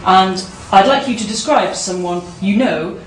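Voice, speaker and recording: A woman acting as an examiner, reading out formal test questions in a brisk manner. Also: medium pitch. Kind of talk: scripted exam questions. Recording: roomy, distant mic, faint noise.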